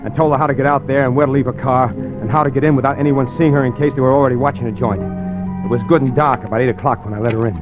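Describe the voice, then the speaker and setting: Nervy schemer husband, radio noir narrator, male actor; rapid, evasive, confessional, dull vintage broadcast sound. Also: medium pitch, fairly even intonation, over music, natural voice. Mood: guilty, brooding. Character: nervous, scheming husband narrating his own crime story. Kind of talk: 1940s-50s radio thriller acting.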